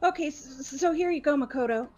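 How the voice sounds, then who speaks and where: Casting: woman as flustered, obsessive teenage girl for a game dub, very high-pitched stammer, defensive and fawning, faint music behind. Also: fast talker, darkish tone, muffled recording, close to an everyday voice. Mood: nervous. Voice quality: stuttering. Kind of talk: voiced visual novel game playthrough.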